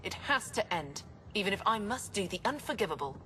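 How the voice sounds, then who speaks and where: A woman's voice: medium pitch, big pitch swings, brisk, bright, forceful tone, slight background noise. An actress voicing a guilt-ridden woman scientist, tormented and stammering under strain, in a video game. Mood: anguished.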